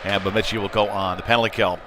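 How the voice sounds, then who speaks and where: A man doing live play-by-play hockey commentary in a halting, conversational way, with frequent uhs. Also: lowish voice, flat delivery. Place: indoor ice rink. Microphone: wired broadcast microphone. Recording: clear rink noise behind the voice.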